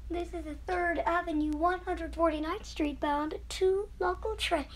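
A woman reading scripted subway train announcements in a measured, formulaic manner. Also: very high voice, steady tone.

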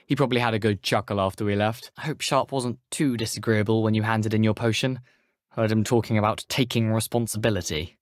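A man's voice: lowish voice, no room sound, clean studio sound, lightly acted. A young man with a British accent playing an eager, cheeky teenage wizard schoolboy in a video game, chatty, persuasive and self-assured. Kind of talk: video game character dialogue.

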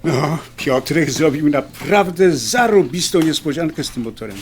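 A man's voice: a little high, expressive, moderate pace, slight background noise, lightly acted. A man playing an enthusiastic, wry fellow in an audio drama, speaking in a colloquial, joking way. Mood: cheerful. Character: enthusiastic, wry male motorcycle lover facing surgery.

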